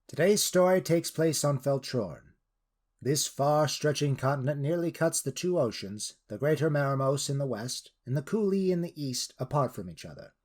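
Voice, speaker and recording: A man narrating a scripted fantasy tale in a theatrical manner with deliberate phrasing. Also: a little high, expressive, darkish tone. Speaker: narrator and creator of the story world.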